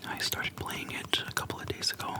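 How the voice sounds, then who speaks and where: A person whispering softly into a close microphone in a casual, slangy, unhurried ASMR chat, pausing often between phrases. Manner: soft whispering, unhurried with pauses; informal slangy speech. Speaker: ASMR video creator. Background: soft tapping and clicking.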